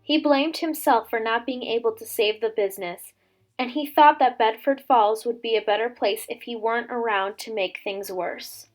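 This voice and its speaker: High-voiced woman reading a storybook over music. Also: moderate pace. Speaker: teacher reading a children's storybook aloud. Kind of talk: expressive scripted storybook read-aloud. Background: background music.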